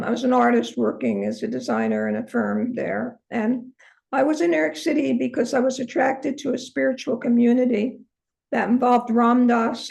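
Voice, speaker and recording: An older woman with a hoarse voice, telling her personal story as an interview guest. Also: fairly high pitch, a little mellow, poor audio. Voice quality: hoarse, from a vocal cord injury. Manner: earnest storytelling, many ums. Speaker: interview guest, holistic practitioner.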